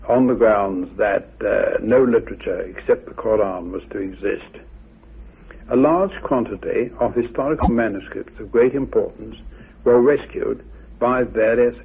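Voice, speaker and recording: A man with a husky, gravelly voice giving an expert account from historical sources in halting, deliberate phrases. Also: lowish voice. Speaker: expert guest on ancient legends. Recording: tinny phone-like old radio recording.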